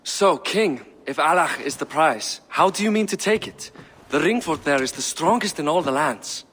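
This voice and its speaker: A smooth-talking king. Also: fairly high pitch, normal speed, in a large hall, a little hiss or hum. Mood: persuasive. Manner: calm, persuasive, diplomatic. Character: persuasive Irish king of Dublin, diplomatic.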